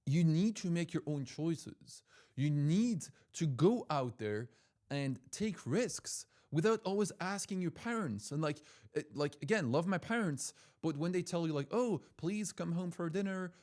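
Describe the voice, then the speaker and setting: A man's voice: fairly high pitch, a little mellow. A young man from Switzerland, a language-school owner, talking casually and motivationally to his viewers, full of fillers and repeated words. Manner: chatty, repeats himself, heavy use of "like" and "right?". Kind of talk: casual motivational talk to camera.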